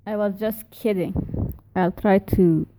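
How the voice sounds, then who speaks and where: A woman giving a reflective spoken reply, talking in a halting, careful way and pausing often to find her words. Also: medium pitch, steady tone, warm tone, a little hiss or hum, low-quality recording. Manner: reflective, thoughtful questioning. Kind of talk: recorded spoken reply about a story.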